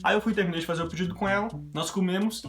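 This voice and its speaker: A man's voice: high-pitched, steady tone, rapid-fire. A young man from Brazil telling a run of everyday anecdotes to his vlog audience in a chatty, casual way. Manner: chatty anecdotal, quoting others in his own voice. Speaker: vlogger. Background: background guitar music.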